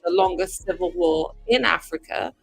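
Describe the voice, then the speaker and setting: A woman from Sudan speaking as a guest on a casual livestream panel, animated and quick to talk over others. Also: fairly low pitch, creaky, faint music behind, low-quality recording.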